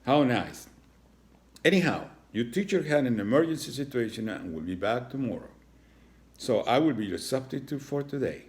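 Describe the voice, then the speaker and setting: A man voicing a stern, pompous horned animal school principal in a children's storybook, brisk and commanding. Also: mid-range voice, animated, darkish tone, voice pushed up, natural voice. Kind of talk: children's storybook read-aloud.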